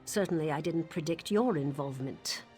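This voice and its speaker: A woman's voice: sits low, moderate pace, natural voice. A woman voicing a wary, bitter widow. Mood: suspicious. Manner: guarded, sharp-edged, questioning. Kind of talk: game dialogue, AI voiced. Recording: faint game music behind.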